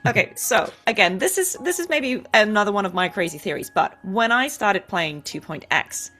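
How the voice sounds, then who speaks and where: A woman's voice: mid-range voice, expressive, moderate pace, pushed and bright, soft background music. A woman hosting a casual interview between voice actors, chatty and playful in the way she talks.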